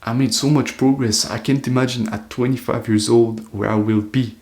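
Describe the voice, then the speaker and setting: A man's voice: mid-range voice, flat delivery, normal speed, darkish tone. An eighteen-year-old man talking to camera in an earnest, loose, reflective monologue, full of "like" fillers. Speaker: self-improvement vlogger.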